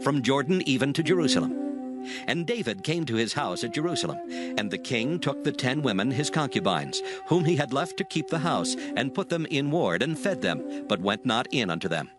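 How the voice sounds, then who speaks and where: A man narrating a dramatized scripture from a script in a solemn style. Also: mid-range voice. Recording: music behind the voice.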